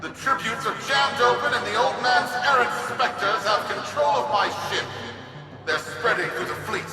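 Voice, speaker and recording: A man playing a haughty villainous fleet admiral in a video game, with a grandiose, drawn-out delivery. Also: very high-pitched. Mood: furious, desperate. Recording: echoey long reverb, distant, music behind.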